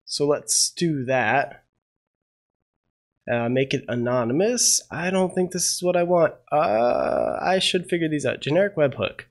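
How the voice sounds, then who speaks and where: Man coding aloud, slightly high voice. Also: expressive, unhurried. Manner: thinking aloud, many "uh" fillers, unsure asides. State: getting over a cold. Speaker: programming tutorial host. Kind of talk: casual screen-share coding tutorial.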